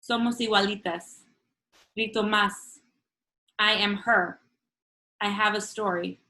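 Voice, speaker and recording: A woman whose first language is Spanish, reading her own poems in a measured, earnest way over a video call from home, with casual asides between pieces. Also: mid-range voice, steady tone, bright, forceful tone, rough recording. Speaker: featured poet. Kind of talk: reading her own poetry at an online open mic.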